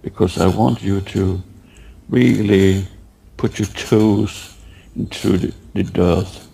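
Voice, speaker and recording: A man leading a guided meditation, giving halting, unhurried instructions and asking gentle questions. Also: low voice, fairly even intonation, gravelly, slightly rough, rough recording.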